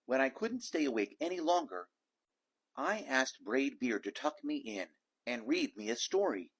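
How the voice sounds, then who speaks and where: A man reading a children's storybook aloud in an expressive narrating style. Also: mid-range voice, unhurried, breathy edge. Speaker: storybook reader.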